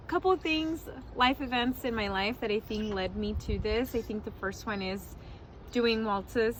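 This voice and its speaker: A woman's voice: medium pitch, a bit bright, slight background noise. A woman in her forties who was born in Mexico, answering interview questions as a wedding planner in a candid, casual way, laughing often and swearing now and then.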